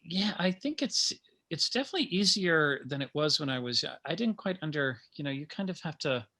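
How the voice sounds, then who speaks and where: Bright-voiced man, halting speaker. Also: a little high, lively intonation. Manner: halting, with trailing repetitions. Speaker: playwright on a panel. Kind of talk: informal panel discussion.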